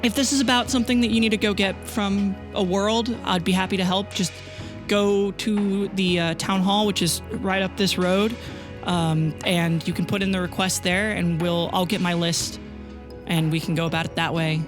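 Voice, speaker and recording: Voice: mid-range voice, steady tone, moderate pace, close to an everyday voice. Game master as Lucy, self-possessed agent, music behind. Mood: wry, helpful. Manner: casual, matter-of-fact. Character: Lucy, self-possessed, polite but wary female agent. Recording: music under the voice.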